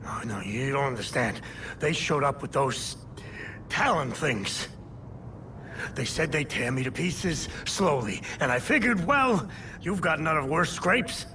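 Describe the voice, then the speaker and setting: An actor playing a slippery, nervous crime boss in a video game, ingratiating and wheedling with false geniality. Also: male voice, on the high side, lively intonation, a little slow, pushed and bright, far from the mic, a little hiss or hum. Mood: pleading, wheedling. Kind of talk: video game cutscene acting.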